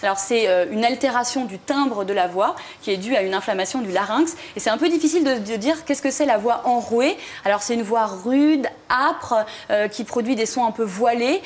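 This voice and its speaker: A young woman with a French accent explaining the meaning and history of words in a TV studio segment, with many "euh" and "alors". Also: fairly high pitch. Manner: fast, explanatory, frequent "euh" and "alors", light laughs. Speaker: language columnist.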